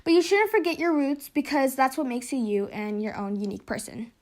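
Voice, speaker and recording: A woman's voice: high-pitched, a little fast, a little mellow. A woman gives her opinion in a casual, conversational way. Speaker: interviewee.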